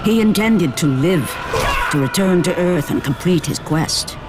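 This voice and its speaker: A woman giving dramatic scripted narration of a mythic tragedy in a video game, grave, measured and portentous. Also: lowish voice, expressive. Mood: solemn. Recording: loud music behind. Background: music.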